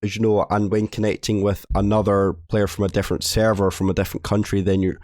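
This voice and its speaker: A man with a low, hoarse voice from a cold talks through a game update. His tone is dark and mellow and the sound is dry and close. He rambles casually and trips over and restarts his words. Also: little pitch movement, quick pace, no room sound. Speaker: gaming video host.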